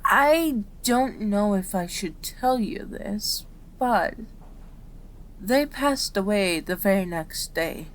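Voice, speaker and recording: A kind kitsune doctor, acted by a woman in an audio roleplay, speaks at an unhurried pace in a medium-pitched voice. She is caring and reassuring, talking straight to her patient. Background ambience runs under the voice. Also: animated, a little hiss or hum, poor audio. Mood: gentle, reassuring. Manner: soft-spoken, reassuring, addressing a patient directly. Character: gentle, caring female kitsune doctor, aging fox spirit. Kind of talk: ASMR roleplay voice acting.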